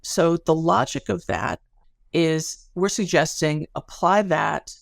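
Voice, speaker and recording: A woman's voice: fairly low pitch, takes it slowly, creaky, dry recording, studio quality. A woman speaking as an academic guest in an interview, explaining research conversationally with everyday examples.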